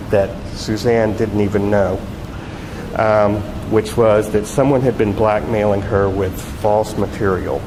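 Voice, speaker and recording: Male author reading in an echoey hall. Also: on the low side. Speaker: novelist reading own work. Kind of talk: live literary reading. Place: public arts event, voice ringing in the space. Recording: echoey hall with loud background noise.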